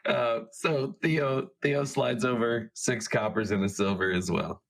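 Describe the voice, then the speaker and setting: A man playing a tabletop roleplaying game, speaking informally and haltingly as he improvises. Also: mid-range voice, gravelly, a bit bright.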